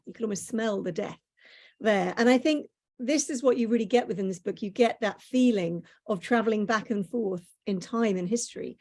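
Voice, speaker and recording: Femme qui interviewe un auteur. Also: female voice, mid-range voice, a little mellow. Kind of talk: interview questions.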